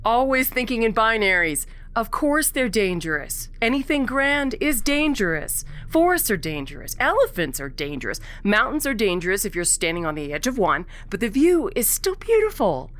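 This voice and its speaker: A woman's voice: fairly high pitch, big pitch swings, moderate pace, hardly any pauses, fairly bright tone. A woman playing a wry, philosophical rebel prisoner in a science fiction audio drama, with a lofty, theatrical, teasing manner. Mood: amused, lofty. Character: wry, world-weary alien rebel prisoner, grandly philosophical.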